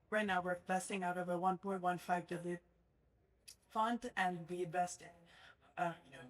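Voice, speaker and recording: A woman's voice: lowish voice, rough recording. Friendly woman introducing herself. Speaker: panel judge, investor. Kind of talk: self-introduction on a panel.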